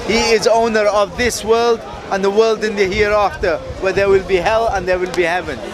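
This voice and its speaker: A man with a high voice explains his position earnestly and insistently during an outdoor street debate. A loud crowd and traffic are heard behind him. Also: steady tone, a little fast. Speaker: debate opponent. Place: outdoor public speakers' corner.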